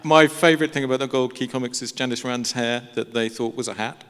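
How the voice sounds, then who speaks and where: A man's voice: mid-range voice, flat delivery, brisk. A man bantering wryly on a convention stage, breaking in with joking questions, in an echoey hall with a crowd. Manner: wry, interjecting with questions. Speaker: panelist.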